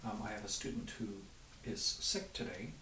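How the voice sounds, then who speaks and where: A man talking casually in an interview as a teacher looking back on his work, with an expressive way of telling it. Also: fairly low pitch, moderate pace. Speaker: private violin teacher. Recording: roomy, distant mic, faint noise.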